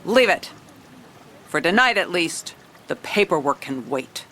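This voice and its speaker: An actress playing a jaded, wry police commissioner who narrates in hardboiled detective style in an audio drama. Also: female voice, fairly low pitch, sing-song, a little slow, sharp tone, a little hiss or hum, full character voice. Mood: determined. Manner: wry, world-weary. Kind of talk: scripted noir detective narration with dialogue.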